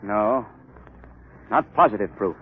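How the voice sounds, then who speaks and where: A man's voice: fairly low pitch, lively intonation, slow pace, husky, heavily acted. A man playing an earnest, resolute senior surgeon, formal and emphatic in 1930s radio drama. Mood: grave, determined. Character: earnest, resolute chief surgeon with grave suspicions. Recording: tinny, noisy 1930s radio.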